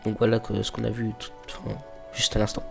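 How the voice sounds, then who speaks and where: A man's voice: fairly low pitch, monotone, brisk, vocal fry, over music. A man with a stuffy nose from a cold, casually commenting on a mobile game he plays, hesitant and full of fillers, sometimes reading the game's dialogue aloud. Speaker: let's play host.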